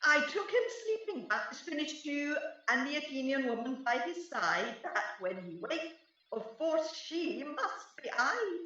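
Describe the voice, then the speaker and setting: A woman's voice: high voice, sing-song, measured pace, bright, forceful tone, exaggerated voice. A woman playing a mischievous, gleeful sprite servant in a radio Shakespeare play, telling the tale in theatrical verse with giggling relish. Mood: eager. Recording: very reverberant, distant, slightly dull sound.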